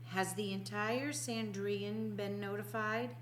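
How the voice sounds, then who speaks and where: A woman voicing a commanding, scheming male high priest with an imperious manner in an audiobook. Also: steady tone, measured pace, a little hiss or hum, lightly acted.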